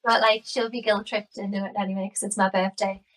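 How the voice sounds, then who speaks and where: A woman in her late thirties with a Geordie accent, chatting casually and readily. Her pitch stays fairly even, and she sounds a little distant from the microphone. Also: fast. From Newcastle area, England. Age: late 30s. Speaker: podcast guest. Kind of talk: casual chat.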